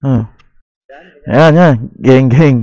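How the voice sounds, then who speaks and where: A man's voice: mid-range voice, extremely slow, dark tone, muffled recording. A Filipino-accented man chatting casually as a motorcycle vlogger during a ride. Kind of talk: casual riding chat.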